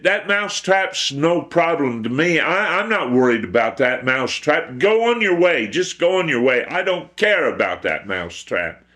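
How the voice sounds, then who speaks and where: A man's voice: a little high, big pitch swings, moderate pace, few pauses, pushed and bright, voice pushed up. A man voicing a haughty, unworried chicken in a storytelling, dismissive and repeating itself. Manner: repetitive, dismissive.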